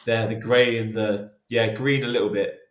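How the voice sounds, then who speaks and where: Low-voiced male host in an echoey room. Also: little pitch movement, normal speed. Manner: casual, friendly, rambling questions. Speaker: podcast host, co-founder. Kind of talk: podcast interview.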